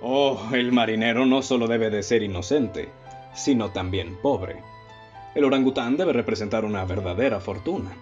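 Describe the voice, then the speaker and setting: A man with a Mexican accent playing a composed, analytical detective in a radio play, speaking formally and explaining with measured confidence. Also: on the low side, a little mellow, lightly acted. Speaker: amateur radio drama actor. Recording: dull lo-fi audio with music bed.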